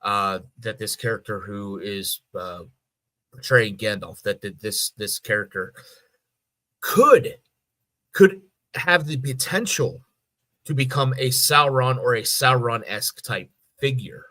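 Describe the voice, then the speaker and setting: A man co-hosting a podcast in casual fan discussion, halting and repeating words as he thinks. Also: on the low side, expressive, sharp tone. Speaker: podcast co-host.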